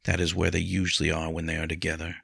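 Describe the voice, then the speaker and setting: A man's voice: low-pitched, monotone, quick pace, gravelly, fairly bright tone, breathy edge, no echo, clean studio sound, full character voice. Man voicing a bitter, mousy serf woman. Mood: subdued. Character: short chubby bitter serf woman, scornful and defiant.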